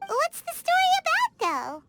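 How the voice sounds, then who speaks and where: A woman voicing a tiny, excitable, childlike fairy companion with a squeaky voice in an English game dub, exclaiming and calling herself by name. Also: unhurried, exaggerated voice. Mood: curious. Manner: refers to self by name in third person, exclamatory. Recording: studio voice over game music.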